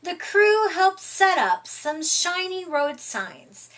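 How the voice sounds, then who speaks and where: A middle-aged woman reading a picture book aloud to young children in an expressive, deliberate, emphatic way. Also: very high voice. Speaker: storytime reader. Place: small furnished room indoors.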